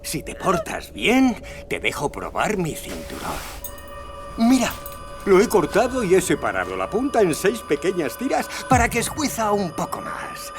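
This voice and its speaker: An actor playing a deranged, fanatical elderly killer in a dramatized podcast, coaxing and singsong, then fervent. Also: male voice, sits high, huge pitch swings, normal speed, music in the background. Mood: menacing coaxing. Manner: theatrical, coaxing, swinging into fervent shouts. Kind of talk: dramatized true-crime podcast acting.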